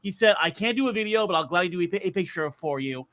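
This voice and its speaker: A man with a loud projecting voice telling stories excitedly in casual chat, often stammering and repeating words. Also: high-pitched, quick pace. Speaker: podcast panelist recounting a convention trip.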